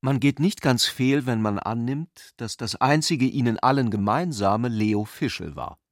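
A man narrating a literary radio drama in an articulate, measured way. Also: medium pitch, no room sound, clean studio sound.

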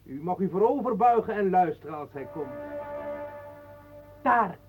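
A flattering, touchy entertainer in an old radio play. His unctuous, theatrical voice is high and very lively, with a narrow phone-like sound. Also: measured pace, music in the background. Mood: slighted, warning. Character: flattering, easily slighted showman and entertainer. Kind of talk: radio drama acting.

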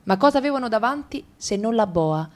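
A woman with an Italian accent reading a radio drama in a lively, ironic way. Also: on the high side, fairly quick.

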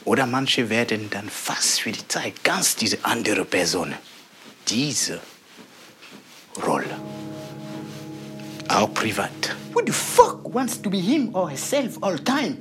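Actress as brooding female arts worker, drawn-out delivery, wide swings in pitch, spoken-word stage drama. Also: sing-song, fairly bright tone, faint music behind, heavily acted. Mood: brooding, detached.

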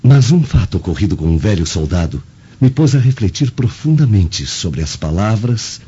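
A man's voice: lowish voice, sing-song, moderate pace, dark tone, husky, highs cut off, full character voice. An actor playing an earnest, awed Roman nobleman in a radio drama, telling his account solemnly and respectfully. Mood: deferential, thoughtful. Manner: solemn, deferential storytelling. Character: earnest, awed Roman senator, humble and reflective.

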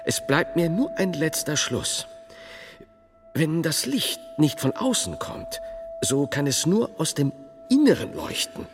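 A solemn medieval theologian, wildly varying intonation. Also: male voice, on the high side, sing-song. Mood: reasoning. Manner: solemn, formal, deliberate. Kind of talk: radio play acting. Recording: long reverb of a tunnel, music behind.

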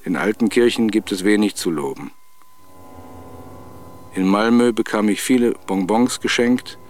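A man's voice: fairly low pitch, measured pace. A man reciting rhyming verse. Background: music.

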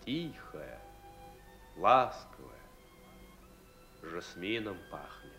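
Radio drama casting: dreamy young soldier, very slow, deep voice, violin music behind. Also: male voice, big pitch swings, full character voice. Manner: slow, hushed, lyrical. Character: dreamy young male soldier in barracks at night. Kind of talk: Russian radio play acting. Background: soft violin music.

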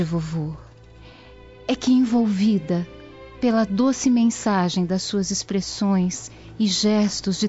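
A woman's voice: mid-range voice, a little mellow, close to an everyday voice. An actress playing a devout, lovestruck young Roman noblewoman, reminiscing tenderly and solemnly in a radio drama. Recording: dull lo-fi radio drama audio. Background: music.

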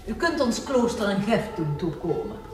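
Actress as a stern nun, echoey and distant. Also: female voice, medium pitch, very animated, moderate pace, music in the background, close to an everyday voice. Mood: stern, disapproving. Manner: formal, disapproving. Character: stern, pious female head of a convent. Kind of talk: period audio drama acting. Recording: echoey, distant, noisy background.

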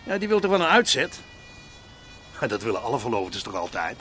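A man's voice: mid-range voice, very animated, brisk, a bit bright, slight background noise. An actor playing a chatty, nosy man in a radio play, talking hesitantly and tagging his remarks with questions. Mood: nosy, coaxing. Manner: hesitant, rambling, full of tag questions. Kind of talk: naturalistic Dutch radio play dialogue.

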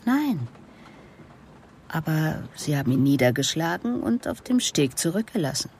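An actress playing a composed, relentless police investigator in a German radio drama, speaking in a measured, deliberate way. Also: female voice, low voice, big pitch swings, a little mellow, slight background noise, exaggerated voice. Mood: confronting, insistent. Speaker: interrogator and narrator. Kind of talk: German radio drama acting with introspective narration.

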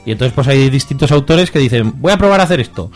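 Male radio co-host, Spain accent, quick and jokey casual chat, music underneath. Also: medium pitch, animated. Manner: colloquial, jokey. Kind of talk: casual comics review chat.

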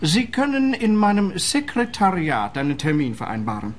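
A smug, shady lawyer with a fairly high voice that rises and falls with lively range. He talks in a pompous, self-satisfied way in a radio play. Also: moderate pace, a little hiss or hum.

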